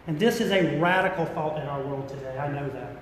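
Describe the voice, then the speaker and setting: A middle-aged American man preaching a sermon as a pastor, speaking earnestly and deliberately with emphatic repetition. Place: large church interior. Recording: echoey hall with rumbling background noise.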